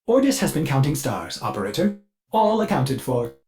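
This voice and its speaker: An actor playing a fussy, eager-to-please artificial mind of a spaceship in a video game, with an overly polite, theatrical and halting delivery. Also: male voice, a little high, sing-song. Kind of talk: video game character dialogue.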